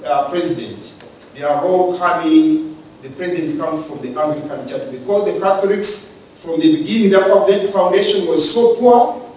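A man from Uganda giving a measured, lecture-style talk on the church's role in society, pausing and restarting his phrases. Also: on the high side, a little mellow, a little hiss or hum. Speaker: speaker on church and state. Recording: echoey room from far off.

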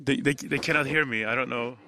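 A man with a Turkish accent, a native Turkish speaker, speaking haltingly from the audience and repeating himself. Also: medium pitch, steady tone, sharp tone. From Turkey. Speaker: audience member. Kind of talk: addressing a speaker from the floor.

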